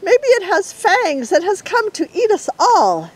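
Woman acting little storybook animal, very high voice, fearful, anxious. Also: very animated, unhurried, heavily acted, rough recording. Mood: frightened. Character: frightened young animal in its den.

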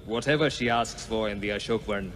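A man voicing a proud, menacing demon king in an animated epic, commanding and grandiose, with reverb and music. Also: lowish voice, fairly quick, slight background noise, lightly acted. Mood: stern command. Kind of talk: theatrical animated villain acting. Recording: long hall reverb, music behind.

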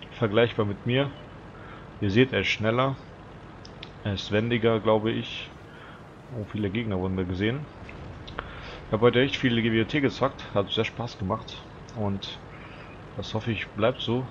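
A man's voice: lowish voice, lo-fi sound, a little hiss or hum. A teenage boy with a stuffy nose from a cold, chatting casually as he comments on his own gameplay for viewers. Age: teens. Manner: chatty, relaxed, talks to viewers. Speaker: gaming channel host.

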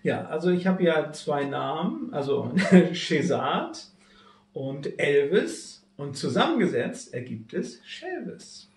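A man's voice: on the high side, very animated, slow pace, slight background noise. A middle-aged man from Hamburg, a guest tribute singer, chatting casually. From Hamburg, Germany. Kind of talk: casual chat. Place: small home kitchen, indoors. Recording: echoey room, a little distant.

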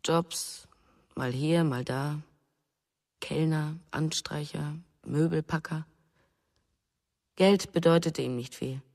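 A grieving young mother in a radio play. Her voice is low and restrained, pensive in short phrases, with a long reverb around it. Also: slow pace, vocal fry, pauses often, darkish tone, full character voice. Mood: subdued, defensive. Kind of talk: German radio drama acting.

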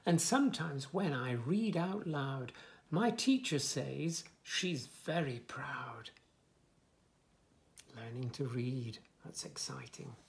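A man in his fifties reading a picture book aloud to young children, with expressive, sing-song delivery and soft asides. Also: medium pitch, takes it slowly, gravelly, slight background noise. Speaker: children's story reader, host. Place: small furnished room indoors, bookshelves.

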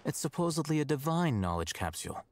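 A man voicing a calm, aloof, calculating young scholar in a video game, speaking in a measured, dry, formal way. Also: a little high. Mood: cool, composed. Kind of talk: scripted video game dialogue.

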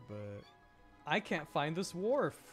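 A man's voice: fairly high pitch, big pitch swings, takes it slowly, a bit bright, soft background music. A man doing casual live gameplay commentary as a streamer, talking to himself in exasperated questions. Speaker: game streamer.

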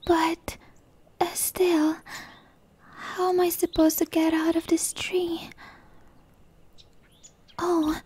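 A woman voicing a shy, innocent young tree dryad in a hesitant, breathy ASMR roleplay whisper. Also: extremely high pitch, flat delivery, darkish tone, no echo, exaggerated voice. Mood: puzzled. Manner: hesitant, halting, pleading.

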